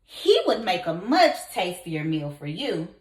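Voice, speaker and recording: A woman voicing a middle-sized male billy goat in a storybook, frightened but crafty, halting and persuasive. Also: fairly low pitch, very animated, distant mic, pitched below the performer's own voice. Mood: coaxing. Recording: echoey room.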